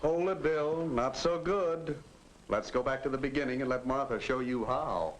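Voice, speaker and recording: A man's voice: mid-range voice, moderate pace, natural voice. An actor playing a fatherly narrator who coaches a teenager in manners in a 1950s educational film. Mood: mild scolding. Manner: coaching, instructive. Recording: noisy old 1950s film soundtrack.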